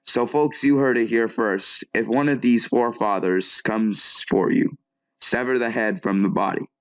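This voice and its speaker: A man's voice: medium pitch, steady tone, a little slow, a bit bright, clear, resonant tone, dry recording, close to an everyday voice, low-quality recording. A man playing an earnest TV news anchor in an audio drama, talking in a conversational, sometimes hesitant way. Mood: serious, puzzled. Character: male TV news anchor, earnest, shaken but composed. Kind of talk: acted local TV newscast.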